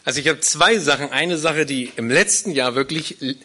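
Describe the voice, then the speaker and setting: A man's voice: fairly high pitch, normal speed, pushed and bright. A man in his forties from Berlin, a guest pastor speaking to a congregation in an earnest, explanatory way. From Berlin, Germany. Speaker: guest pastor leading a small church plant. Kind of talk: live interview, personal testimony to a congregation.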